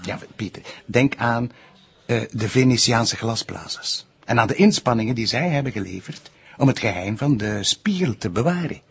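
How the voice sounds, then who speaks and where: A Flemish actor playing an erudite, patient detective in a TV drama, explaining hesitantly in a husky, gravelly voice. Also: male voice, medium pitch, normal speed, heavily acted. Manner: hesitant, didactic, animated. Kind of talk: naturalistic TV crime drama acting.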